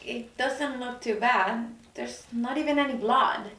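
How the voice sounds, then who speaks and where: Higher-voiced, assertive career woman, acted out. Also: measured pace, fairly bright tone. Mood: wary small talk. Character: driven, sharp-tongued ambitious woman executive, indignant and wry. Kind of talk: table read acting. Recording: echoey room, distant mic.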